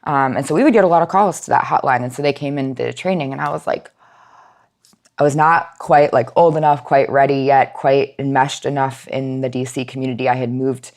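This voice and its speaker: A woman with a strikingly low voice gives a reflective interview. She speaks conversationally, with halting phrases as she searches for what she means. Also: fairly even intonation, a little mellow. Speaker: nonprofit outreach manager, podcast guest. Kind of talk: reflective personal interview talk.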